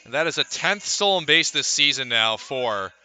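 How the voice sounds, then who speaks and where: A man calling live baseball play-by-play for radio, mixing pitch-by-pitch description with conversational asides. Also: animated, normal speed, sharp tone. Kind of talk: live sports commentary.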